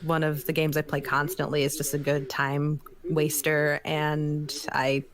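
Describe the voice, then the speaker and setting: A woman's voice: low-pitched, monotone, unhurried, a crowd in the background. A woman chatting casually as a guest, talking like a gamer among friends. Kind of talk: casual chat about gaming.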